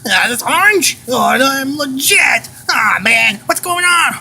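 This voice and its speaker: Panicky captive, male performer: high, sharp, sweary, repetitive, homemade skit acting. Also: lively intonation, slow, vocal fry, a little hiss or hum, exaggerated voice. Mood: panicked, confused. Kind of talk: amateur improvised skit.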